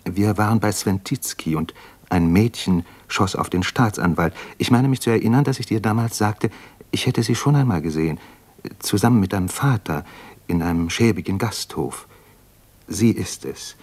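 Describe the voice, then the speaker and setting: Radio play, 1950s style: male actor for young doctor-poet, deep register, earnest, homesick, introspective and intense. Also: moderate pace, darkish tone, lightly acted. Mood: tender, wistful. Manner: reflective, literary, intense. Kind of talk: 1950s German radio drama acting.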